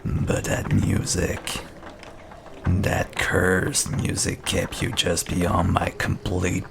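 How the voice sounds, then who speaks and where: A man playing a sinister, possessive entity that taunts its sleepless victim in a dark horror audio roleplay, with a gravelly, breathy whisper and a menacing, intimate manner. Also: low voice, noisy background, heavily acted. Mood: taunting, savoring.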